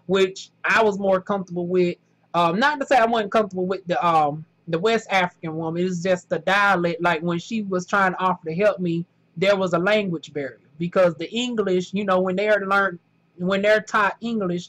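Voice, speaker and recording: A man with a thick Southern US accent and a notably high voice talks straight to camera. He rambles chattily through his story, peppering it with "honey" and "y'all" in a relaxed vlog style. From southern United States. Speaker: vlogger.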